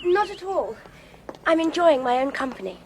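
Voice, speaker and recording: A young Englishwoman in a radio play, with a high voice. She is highly strung and proud of her independence, and there is faint noise behind her. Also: big pitch swings, moderate pace. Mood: cool, aloof. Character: distressed, aloof young Englishwoman travelling alone abroad. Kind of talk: radio drama acting.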